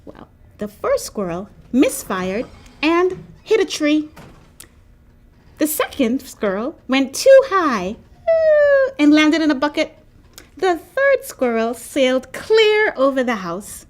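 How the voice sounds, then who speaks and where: A woman reading a children's picture book aloud with expressive, animated storytelling. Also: sits high, slow. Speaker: storybook reader.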